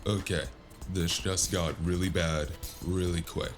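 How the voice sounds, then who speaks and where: A man with a gravelly voice narrating a cyberpunk noir audio drama in the first person, as a weary, wry gunman. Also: low voice, fairly even intonation, unhurried. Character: weary, wry male cyberpunk gunman telling his own story. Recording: music under the voice.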